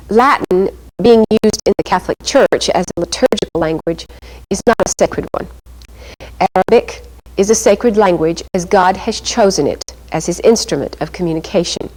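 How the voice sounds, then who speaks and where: A woman reads scripted documentary narration in a formal, even manner as the program narrator. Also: medium pitch, normal speed, low-quality recording.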